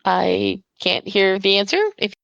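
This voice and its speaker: A woman's voice: mid-range voice, monotone, unhurried, gravelly, fairly bright tone, dry recording, muffled recording. Chatty, enthusiastic woman host. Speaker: livestream host. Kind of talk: casual livestream chat.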